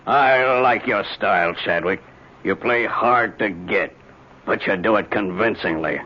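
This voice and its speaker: An American actor playing a genial, flattering older senator with a husky voice in an old-time radio drama. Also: male voice, on the low side, measured pace. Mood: admiring, persuasive. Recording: dull old radio recording.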